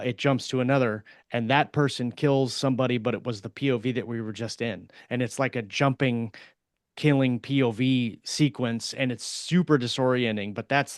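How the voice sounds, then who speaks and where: A man talking casually as a podcast co-host, in a rambling, halting way that circles around his point. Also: mid-range voice, smooth, dry recording, studio quality. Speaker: podcast co-host and fiction writer. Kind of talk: casual discussion.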